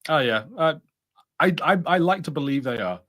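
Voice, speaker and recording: A man's voice: on the high side, lively intonation, normal speed, fairly bright tone. A man co-hosting a casual review podcast, musing conversationally and a little hesitantly.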